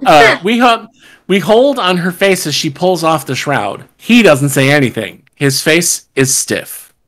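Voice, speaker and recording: Animated, sarcastic woman at a table read. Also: deep voice, a little slow. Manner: irreverent, sarcastic, sweary asides. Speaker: podcast co-host reading stage directions. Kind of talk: informal table read, casual banter. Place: home rooms on a group video call.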